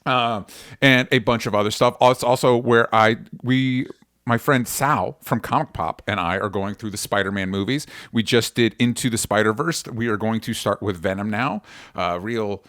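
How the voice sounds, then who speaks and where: A man hosting a podcast in a casual, animated, informal way, chatting and making show announcements. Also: on the low side, normal speed, no room sound, clean studio sound. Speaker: podcast host.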